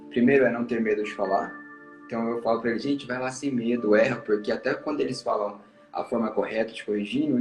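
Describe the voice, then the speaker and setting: Fast-talking man in an echoey room. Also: medium pitch, a little mellow. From Brazil. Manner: encouraging, explanatory. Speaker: English teacher and traveler. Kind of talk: casual conversation giving advice. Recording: roomy echo.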